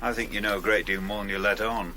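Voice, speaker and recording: An actor playing a persistent stranger who asks probing questions in a radio drama. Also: male voice, lowish voice, fairly even intonation, quick pace, pushed and bright, a little hiss or hum. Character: probing male outsider, persistent and calm.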